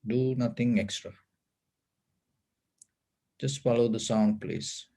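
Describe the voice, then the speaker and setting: A man leads a guided meditation as a Buddhist teacher, giving calm, deliberate instructions in short phrases. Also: medium pitch, vocal fry.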